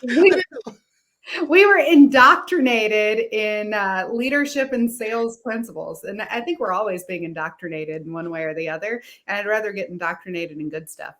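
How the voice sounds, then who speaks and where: A woman from North Carolina, a guest on a podcast, talking in a relaxed, easygoing way. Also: mid-range voice, normal speed. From North Carolina, USA. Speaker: guest, executive coach. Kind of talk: casual podcast interview.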